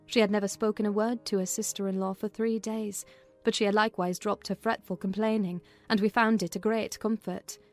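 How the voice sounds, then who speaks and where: A woman giving an expressive scripted narration of a classic novel. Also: mid-range voice, a little fast. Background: music.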